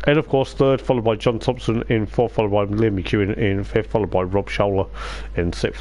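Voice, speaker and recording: A man's voice: fairly low pitch, a little mellow. A man hosting a casual sim racing livestream as commentator, chatty and self-mocking, his strained voice starting to give out. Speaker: livestream host and race commentator.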